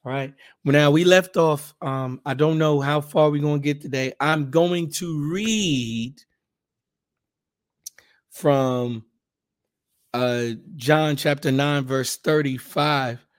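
A man with a hoarse, raspy voice, hosting a casual Bible podcast and leading a fervent spoken prayer. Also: a little high, slow. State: hoarse, raspier than usual.

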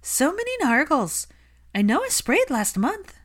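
A woman's voice: sits high, wildly swooping pitch, measured pace, pitched above the performer's own voice, full character voice. A woman voicing a dotty, eccentric young witch who calls out airily in a story reading. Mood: preoccupied.

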